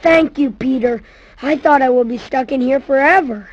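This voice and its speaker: A woman's voice: high voice, moderate pace, no room sound, muffled recording. A woman voicing an earnest, eager little chubby boy, a loyal Lost Boy in a video game cutscene. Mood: earnest, grateful.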